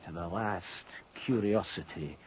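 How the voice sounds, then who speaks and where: An actor playing a haunted young anatomist's assistant turned grave robber, with a grave, literary, dramatic delivery in a radio horror play. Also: male voice, deep voice, unhurried. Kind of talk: gothic radio horror drama acting and narration. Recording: dull, noisy radio drama recording.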